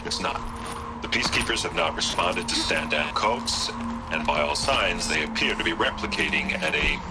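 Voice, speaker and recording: A man playing a slick, self-important chief executive in a video game, speaking in a polished, measured, placating way. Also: deep voice, very noisy.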